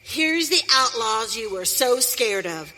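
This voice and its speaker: A woman's voice: on the high side, lively intonation, slow, bright, forceful tone, exaggerated voice. A woman playing a feisty, proud, bossy frontier woman with an Old in a radio western. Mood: defiant, proud. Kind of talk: live western radio drama acting. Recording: reverberant hall, faint background noise.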